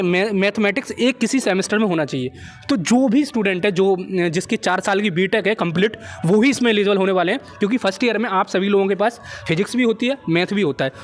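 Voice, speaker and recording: A young man with an Indian accent hosting an informal explainer, talking to viewers like friends and often checking that they follow. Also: high voice. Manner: chummy, addresses viewers as friends, repeats check phrases. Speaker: job-update video host. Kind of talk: informal explainer of a recruitment notice.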